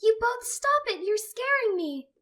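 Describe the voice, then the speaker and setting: A woman's voice: very high voice, animated, measured pace, fairly bright tone, voice pushed up, exaggerated voice. A woman voicing a caring younger sister who snaps in frustration and pleads. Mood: pleading. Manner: snapping, pleading.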